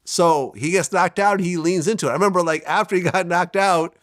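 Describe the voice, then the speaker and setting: A man in his fifties, a guest in a candid interview, telling stories bluntly and dropping his voice low for emphasis. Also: brisk, no echo, clean studio sound. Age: 50s. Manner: blunt, animated storyteller who drops his voice low for emphasis.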